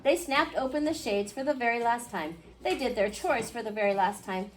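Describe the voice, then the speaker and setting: A woman reading a picture book aloud to a class, expressively, pausing to question her young listeners. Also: fairly high pitch. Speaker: children's storybook reader. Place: indoor school classroom. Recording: clearly noisy classroom recording.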